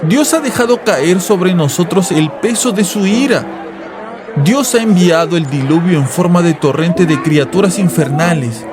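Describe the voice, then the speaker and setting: Man acting a fanatical, high-strained wrathful preacher. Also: expressive, a little slow, background noise. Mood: wrathful, fervent. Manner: declamatory, shouting, incantatory repetition. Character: fanatical male revival preacher, wrathful, fervent, apocalyptic. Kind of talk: dramatized radio drama sermon.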